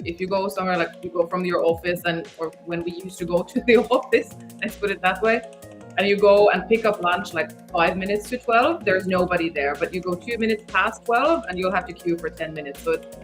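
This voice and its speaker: Lower-pitched woman in casual chat. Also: a little fast, soft background music. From Finland.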